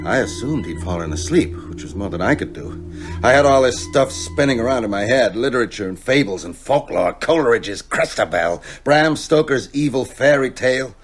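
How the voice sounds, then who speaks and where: A man's voice: medium pitch, wildly swooping pitch, moderate pace, soft background music. An actor playing an anxious, grave, educated man in a radio drama, speaking with terse, earnest urgency. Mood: haunted, earnest. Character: anxious, educated male friend, grave and haunted.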